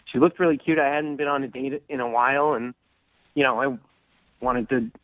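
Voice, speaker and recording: A man's voice: medium pitch, fairly even intonation, moderate pace, no echo. A man calling in to a radio show by phone, telling his story casually in a nervous, hesitant, apologetic way. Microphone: phone call. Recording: narrow, tinny phone line.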